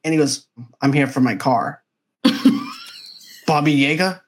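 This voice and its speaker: A man's voice: a little high, unhurried. A man co-hosting a movie review podcast, chatting casually and eagerly retelling scenes from the film. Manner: animated scene-by-scene retelling, quotes lines from the film.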